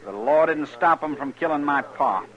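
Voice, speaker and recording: An actor with an American accent playing a stubborn, defiant young gunslinger in a 1950s radio western, terse and folksy. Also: male voice, fairly high pitch, animated, normal speed, fairly bright tone. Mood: bitter. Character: brash, stubborn young male gunslinger seeking revenge. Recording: muffled old radio recording.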